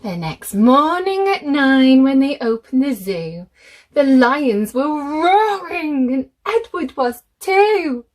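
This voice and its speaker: A woman reading a children's picture book aloud, expressive and deliberate, speaking directly to young listeners. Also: high voice.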